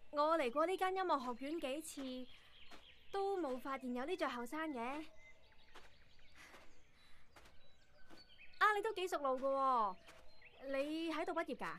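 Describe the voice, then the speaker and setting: A woman's voice: sits high, animated, normal speed, a bit bright, slight background noise. A woman acting in a Cantonese radio drama as a perky, plucky young intern, chatty, blunt and full of exclamations. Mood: curious. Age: young adult.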